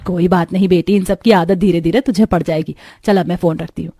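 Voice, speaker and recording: A woman's voice: on the low side, steady tone, a little fast, warm tone, husky, dry recording, clean studio sound, voice pushed down. A woman voicing a middle-aged mother in a cartoon, matter-of-fact and dismissive as she advises her daughter. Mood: brisk, dismissive.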